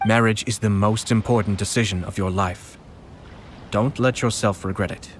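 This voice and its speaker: A young man voicing a calm, courteous nobleman in a game, speaking in a smooth, measured, polite way. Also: lowish voice, slight background noise, close to an everyday voice. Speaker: noble clan head. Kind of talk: game voice acting. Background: music.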